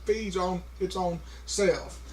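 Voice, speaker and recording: A man's voice: high-pitched, fairly even intonation, slight background noise. A man talking casually to a camera, with a heavy stutter, repeating sounds and stopping on words, with many fillers. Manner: slow, halting, many fillers. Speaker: vlogger.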